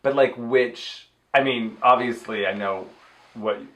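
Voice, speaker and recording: A man's voice: mid-range voice, measured pace, fairly bright tone, low-quality recording. A man co-hosting a casual review chat, bantering expressively with emphatic agreement and mock quotes. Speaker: co-host reviewing a TV episode.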